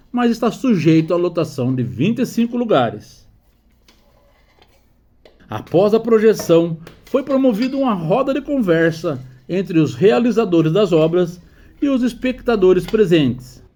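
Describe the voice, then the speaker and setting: A man's voice: sits high, dark tone. A man reading a formal scripted narration like an announcer.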